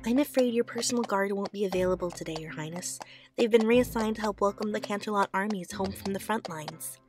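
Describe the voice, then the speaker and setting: A woman's voice: medium pitch, fairly quick, darkish tone, soft background music, natural voice. A woman playing an anxious, kind young pony aide in a radio drama, earnest and easily flustered. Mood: dutiful concern. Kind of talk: fan radio drama acting.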